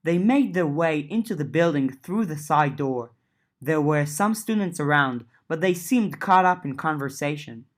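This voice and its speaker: A woman narrating an audiobook of a fiction serial, lifting her voice for dialogue and settling lower for narration. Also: expressive, measured pace. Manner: reads dialogue with lifted pitch, narration lower and softer. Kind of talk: audiobook reading of a web serial.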